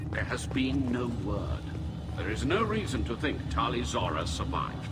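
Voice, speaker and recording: A stern and formal alien admiral played by a man argues in a video game drama. His pitch moves widely as he speaks, skeptical and stiff. Loud music plays behind him. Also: sing-song, normal speed, lightly acted.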